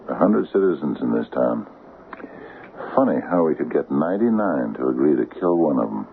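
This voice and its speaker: An actor playing a weary, guilt-ridden small-town barber with a halting, sardonic delivery in a radio drama. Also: male voice, big pitch swings, full character voice. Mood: weary, rueful. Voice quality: deep, gravelly. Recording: tinny, noisy old radio broadcast.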